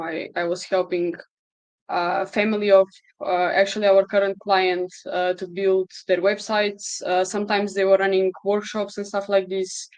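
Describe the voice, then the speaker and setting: A woman from Portugal answering interview questions in a relaxed way, with some fillers. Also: mid-range voice, no room sound. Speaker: guest, co-founder.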